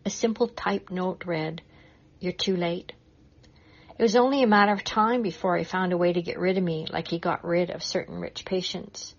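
A woman's voice: fairly low pitch, steady tone, moderate pace. Woman reading a story, muffled sound. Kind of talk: dramatic first-person story reading.

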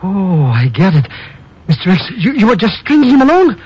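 A man's voice: high voice, huge pitch swings, moderate pace, a little mellow, slight background noise. A male actor playing a flustered, timid, bumbling comic sidekick who stammers and repeats himself in a 1950s radio drama. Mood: puzzled, chatty. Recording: muffled 1950s radio recording with music.

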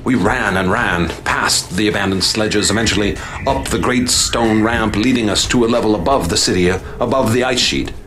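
A man's voice: on the low side, moderate pace, a bit bright, a little hiss or hum. Tense, stammering male radio-drama narrator. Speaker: narrator and professor. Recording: music playing under the voice.

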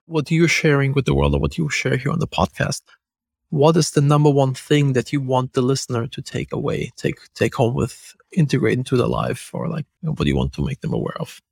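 A man's voice: medium pitch, gravelly, a little mellow, breathy edge, no echo. A man with a German accent hosting a podcast, talking in a casual, loose way with frequent restarts and fillers. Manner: fast, loose talk with many restarts and fillers. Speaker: podcast host.

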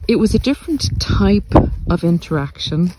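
A man giving a reflective, earnest monologue to listeners, speaking deliberately in short phrases with pauses. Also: high voice, expressive, very noisy. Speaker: podcast host and narcissistic abuse coach. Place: outdoors in the countryside, walking. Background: country sounds.

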